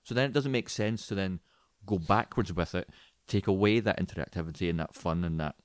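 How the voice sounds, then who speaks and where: Man, lowish voice, dry close sound, casual opinionated podcast talk, mock-quoting asides. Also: a little fast, no room sound. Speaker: podcast co-host and film reviewer.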